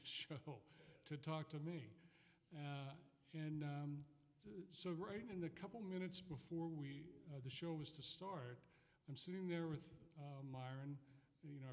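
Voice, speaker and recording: A middle-aged man with a voice pitched a little high tells a story on a panel. He talks in bursts, full of fillers and restarts. His voice rings with long hall reverb. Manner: animated, frequent fillers and restarts. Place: lecture hall at a school event. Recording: hall reverb from a lecture room.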